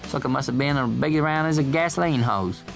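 A man's voice: medium pitch, brisk, creaky, faint music behind, pitched above the performer's own voice, natural voice. A man voicing a raw-boned young country boy, telling a tale in a folksy drawl. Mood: earnest.

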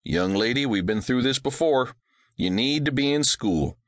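A man reads a devout widowed rancher father, gentle and reassuring in every line. His voice rises and falls with lively variation, and the recording is close and dry. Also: medium pitch, normal speed, dry recording, lightly acted. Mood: patient, gentle. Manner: soft, patient, reassuring.

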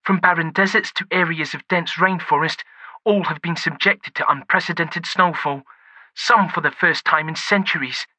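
A man's voice: on the high side, steady tone, normal speed, bright, forceful tone, no echo, pitched above the performer's own voice, low-quality recording. A male audiobook narrator voicing a polite female TV news reporter with a slight shiver, in a formal broadcast manner. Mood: formal newsreading.

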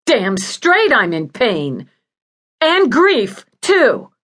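A woman's voice: mid-range voice, sing-song, unhurried, fairly bright tone, pitched above the performer's own voice. A woman voicing an agitated, foul-mouthed female patient in an audiobook, bitter, demanding and in pain. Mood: furious agony. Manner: pleading, snarling, accusatory. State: in pain, desperate. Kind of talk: audiobook character acting.

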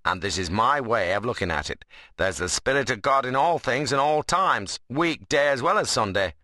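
A stern, principled rustic workman, voiced by a male narrator in a storybook reading. He speaks bluntly and earnestly in a dialect. The delivery is forceful and animated, in a dry recording. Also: mid-range voice, no room sound, voice pushed up. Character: stern, plain-spoken, principled young workman.

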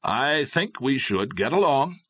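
A man voicing a stoic, patient gentleman adventurer in an audiobook, calm, measured and understated. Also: medium pitch, husky, dry recording, pitched above the performer's own voice, heavily acted. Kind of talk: audiobook character voice.

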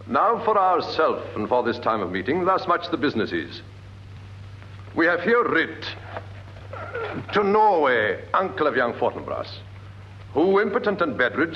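Casting: man playing commanding British monarch, stately and rhetorical, widely swinging pitch, dull vintage radio sound. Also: a little hiss or hum, close to an everyday voice. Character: smooth, commanding new king addressing his council. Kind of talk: classical Shakespearean radio acting.

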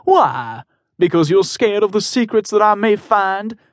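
Storybook villain: male reader doing a scornful bereaved man, lively delivery, dry sound. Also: high voice, unhurried, no echo, voice pushed up. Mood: menacing. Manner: menacing, scornful. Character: bitter grieving middle-aged man, threatening.

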